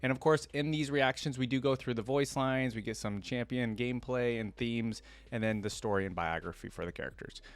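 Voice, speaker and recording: Guy doing reaction commentary and reading stuff out, normal pitch voice, really dry sound no echo. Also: brisk, hardly any pauses. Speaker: host of a reaction channel. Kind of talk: casual reaction commentary with read-aloud lore.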